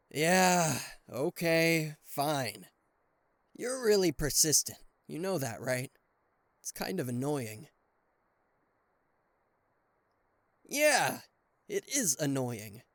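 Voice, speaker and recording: A man playing a cocky, playful and easily flustered king of hell as an affectionate boyfriend in an ASMR roleplay, with theatrical teasing pauses and stammers. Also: a little high, no echo. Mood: defensive. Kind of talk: ASMR roleplay addressed to the listener.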